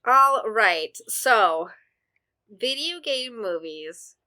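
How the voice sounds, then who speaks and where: A woman's voice: fairly high pitch, expressive, extremely slow, sharp tone. A young woman co-hosting a podcast, leading the talk in a chatty, upbeat way. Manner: leads the topic, upbeat and chatty. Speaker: podcast co-host. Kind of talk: casual podcast chat.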